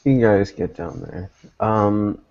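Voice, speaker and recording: A man acting as game master, narrating a tabletop roleplaying scene in halting short phrases. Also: fairly low pitch, darkish tone, muffled recording.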